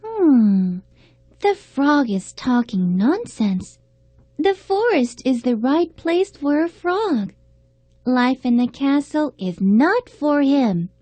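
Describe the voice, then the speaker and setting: A woman voicing a spoiled, whiny, haughty young princess in a children's fairy tale, with exaggerated, deliberate delivery. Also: high voice, sing-song, dark tone, dry recording, exaggerated voice. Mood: disdainful.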